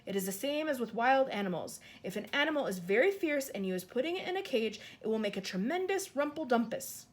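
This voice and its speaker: A woman voicing a kind, excitable male giant in a storybook reading, talking in jumbled grammar and made-up words. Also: a little high, brisk, close to an everyday voice. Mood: explaining. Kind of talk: audiobook character voice.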